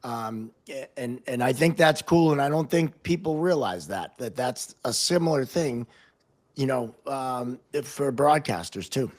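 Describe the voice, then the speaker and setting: An American man hosting a casual podcast interview, talking in a halting way and often repeating words. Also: medium pitch, expressive, darkish tone. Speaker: podcast host, hockey referee.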